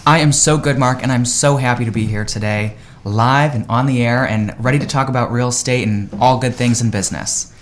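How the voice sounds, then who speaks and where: A man's voice: medium pitch, a little fast, hardly any pauses. Upbeat, enthusiastic man guesting on live radio. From United States. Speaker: guest, real estate agent.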